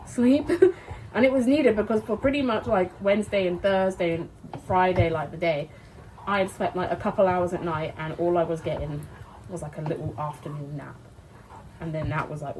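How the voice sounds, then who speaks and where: A woman's voice: on the low side, background noise. A British woman vlogging casually while she cooks, chatty and sweary, laughing often, with a congested, sniffly voice. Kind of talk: casual chat while cooking. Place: home kitchen.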